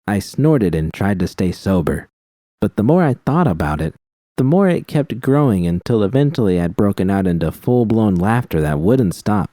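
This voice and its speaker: A man with a low voice reads first-person fiction in a steady, plain manner. His tone is mellow and the recording sounds dry and close. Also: normal speed, no echo. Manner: steady, plain reading with frank profanity. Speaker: audiobook reader.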